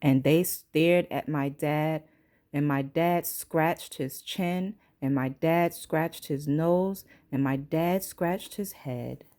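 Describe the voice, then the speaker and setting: A woman's voice: deep voice, slow, warm tone. A woman reading a picture book aloud to young children as a teacher, acting out its sounds and dialogue expressively.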